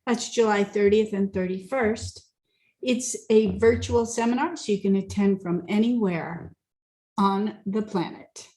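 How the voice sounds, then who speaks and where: A woman's voice: medium pitch, measured pace, dark tone, rough recording. A woman hosting a talk show in a casual, chatty way, sometimes stumbling over her words. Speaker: host. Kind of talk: casual radio chat.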